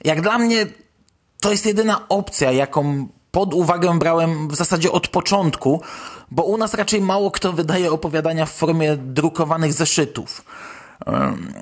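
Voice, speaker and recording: Male podcast host, slightly high register, conversational news commentary. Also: moderate pace.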